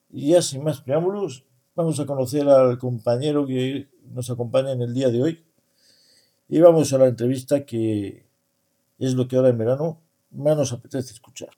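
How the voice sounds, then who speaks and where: A man hosts a relaxed interview, his tone dark and mellow. He talks in a friendly, conversational way at a middle pitch, nudging his guest along with short replies. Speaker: podcast host interviewing a guest. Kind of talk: casual interview.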